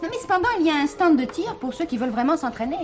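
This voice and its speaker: Cheerful, teasing socialite: lively, fairly high voice for radio drama, music underneath. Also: female voice, rapid-fire. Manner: teasing, animated. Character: cheerful, chatty divorced woman, a saloon regular. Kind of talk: French radio drama acting.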